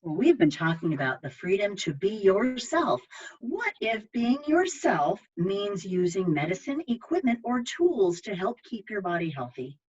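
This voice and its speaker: Expressive woman reading children's books aloud. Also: mid-range voice, measured pace, husky, highs cut off. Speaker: library storytime reader.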